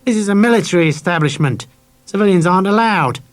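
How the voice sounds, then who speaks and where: Casting: male reader as haughty, sneering corporal for a children's audiobook; thin, higher-pitched voice that carries, cold and officious. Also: expressive, fast talker. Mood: officious disdain. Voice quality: thin, weak but carrying.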